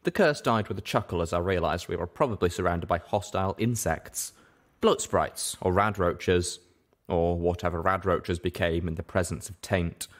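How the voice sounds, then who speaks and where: Deep-voiced man reading a story. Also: normal speed. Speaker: audiobook reader. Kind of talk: scripted narration of fan fiction.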